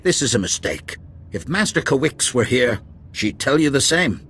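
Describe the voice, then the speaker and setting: A man's voice: medium pitch, big pitch swings, normal speed, fairly bright tone, slight background noise. A man acting a stern, distrustful Jedi council master in a video game, speaking formally and insistently. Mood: stern, disapproving.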